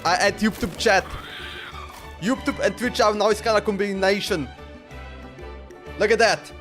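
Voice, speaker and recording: A man's voice: high-pitched, measured pace, a bit bright, faint music behind. A man streaming a game, chatting and reacting casually in an informal, repetitive way. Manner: informal, repeats himself. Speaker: live streamer.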